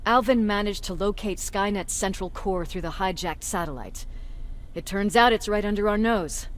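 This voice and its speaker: A woman's voice: medium pitch, normal speed, natural voice. A woman acting a video game role as a tough, blunt resistance commander with an authoritative manner. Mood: grim, commanding. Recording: faint background noise.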